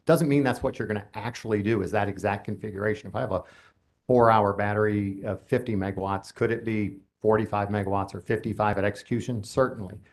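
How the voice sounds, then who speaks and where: Gravelly low-voiced man explaining steadily. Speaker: utility company expert witness. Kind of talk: formal hearing testimony.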